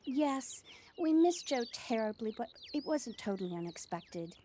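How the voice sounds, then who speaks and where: Audio play role: actress playing friendly mother, mellow delivery, faintly noisy home recording. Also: on the high side, big pitch swings, unhurried. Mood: friendly, curious. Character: friendly widowed mother, American-born, long settled in England. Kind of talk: amateur audio drama acting. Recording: faint noise, home personal-device recording.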